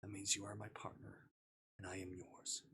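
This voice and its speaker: A man voicing a calm, controlling visual novel kidnapper who speaks quietly and clinically, as if he were a caring partner. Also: lowish voice, moderate pace, creaky, slight background noise, pitched below the performer's own voice. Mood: composed, insistent. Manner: quiet, composed, clinical, condescending. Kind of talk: visual novel character reading.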